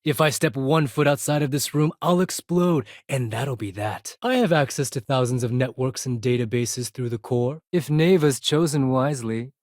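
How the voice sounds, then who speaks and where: A man's voice: mid-range voice, normal speed, few pauses, a little mellow, no room sound, studio quality, lightly acted. A man playing a proud, sardonic accountant for a space pirate fleet in a video game, speaking in a precise, formal, condescending way. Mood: self-important, explanatory. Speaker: video game character voice actor. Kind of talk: scripted game dialogue.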